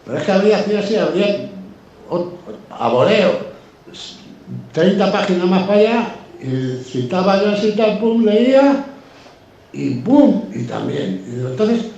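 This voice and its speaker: A man's voice: high-pitched, sing-song, unhurried, mellow, lo-fi sound. A man with an accent from Spain telling anecdotes casually, in an effusive, colloquial way with swearing. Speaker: guest. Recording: very echoey room, distant mic, faint noise.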